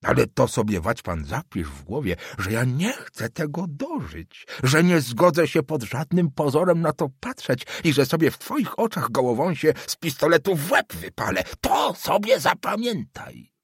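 A man voicing a cranky old male official who rants in a shrill, slow voice. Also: wildly swooping pitch, slightly rough, dry recording, clean studio sound, full character voice. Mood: furious. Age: older. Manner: shrill, slow, shouting tirades. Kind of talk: audiobook character voice.

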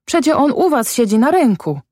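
A woman's voice: high-pitched, very animated, moderate pace, no room sound, studio quality, pitched above the performer's own voice. A woman voicing a scared, angry peasant boy who cries out in rustic speech in an audiobook. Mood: angry disbelief. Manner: shouting rustic exclamations.

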